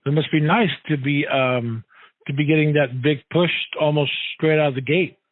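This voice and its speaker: A man hosting a casual radio interview, haltingly forming his questions and repeating words as he goes. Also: a little high, fairly even intonation, dry recording. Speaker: radio show host, interviewer. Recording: narrow, dull phone-like sound.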